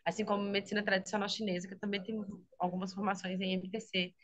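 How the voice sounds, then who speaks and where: A woman's voice: medium pitch, flat delivery, fast talker. A woman from Minas Gerais with a Brazilian accent, a speech therapist and health teacher, explaining her work in a casual interview, often adding "né?" and laughing easily. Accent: Brazilian Portuguese, Minas Gerais. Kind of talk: casual interview over a video call. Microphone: video call.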